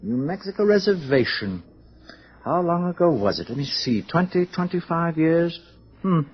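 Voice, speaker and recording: An actor playing a pompous older male director with a rambling, halting, officious manner in a radio drama. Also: on the high side, very animated, breathy edge. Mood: wistful, musing. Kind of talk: old-time radio drama acting. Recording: dull, muffled old radio recording.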